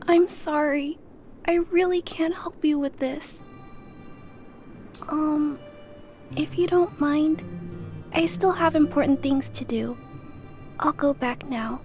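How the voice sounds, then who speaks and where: Game role: gentle small girl, high-pitched, hesitant, courteous, quietly firm. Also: takes it slowly, pauses often, soft background music. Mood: apologetic. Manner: soft-spoken, polite, hesitant. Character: gentle, timid but stubborn little girl. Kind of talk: video game voice acting.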